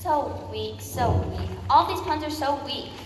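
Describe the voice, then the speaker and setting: A woman's voice: sits high, unhurried. A young girl of about eleven playing a bossy, dismissive composer in a scripted comic school play. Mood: weary, dismissive. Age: child, about 11 or 12. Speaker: student performer in readers theater. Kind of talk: scripted comic stage recitation. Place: school performance in a large echoey room. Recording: echoey hall, far mic, clearly noisy.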